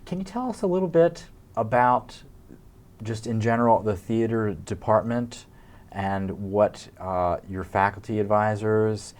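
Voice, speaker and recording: A man co-hosting an interview, asking questions in a deliberate, halting, rephrasing way. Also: lowish voice, a little mellow. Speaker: interviewer, co-host.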